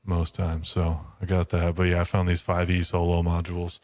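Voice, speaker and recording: Low, flat-toned man, blunt and casual. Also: normal speed, dry recording, clean studio sound. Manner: blunt, matter-of-fact. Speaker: podcast co-host. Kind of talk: casual chat.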